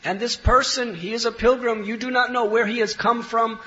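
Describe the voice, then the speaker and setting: Man acting out teasing young women, high pitched. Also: steady tone, normal speed, pushed and bright, muffled recording.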